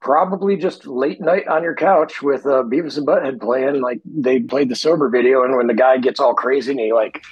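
A middle-aged American man talks casually as a podcast guest. His voice is husky, at an average pitch, and recorded dry and close. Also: moderate pace, hardly any pauses, no echo, lo-fi sound. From Michigan, United States. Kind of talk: casual chat.

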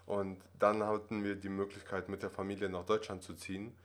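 A man's voice: lowish voice, flat delivery, brisk. A man in his twenties who grew up in Russia, talking casually as a podcast guest, rambling with many fillers and tag questions. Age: 20s. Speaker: acting student guest.

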